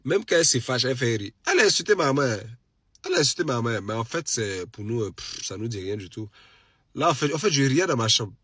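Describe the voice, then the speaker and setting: Man, Ivorian French accent, lowish husky voice, stuffed with a cold, dry close sound, animated casual chat, emphatic repetition. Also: quick pace, no room sound. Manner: animated, repeats phrases for emphasis. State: has a cold. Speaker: social media live streamer. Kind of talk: casual late-night live chat to followers.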